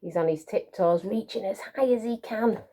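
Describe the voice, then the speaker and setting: A woman's voice: mid-range voice, normal speed, dark tone. A woman reading a picture book to young children, with encouraging asides and questions to her listeners. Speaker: children's storyteller.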